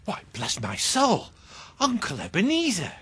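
An actor playing a warm-hearted gentleman nephew, hearty and exclamatory, in a radio drama. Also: high voice, wildly swooping pitch, measured pace, slightly rough, exaggerated voice. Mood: astonished, welcoming. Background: prominent music.

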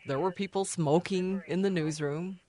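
A man's voice: sits high, steady tone, moderate pace, warm tone. A man who worked in a newsroom, reminiscing conversationally in an interview. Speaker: former newsroom staffer being interviewed.

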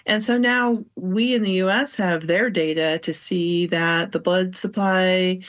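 A woman speaking as a medical expert guest in a radio interview, explaining in measured, careful phrases. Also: on the low side, fairly even intonation. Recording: dull, muffled lo-fi audio.

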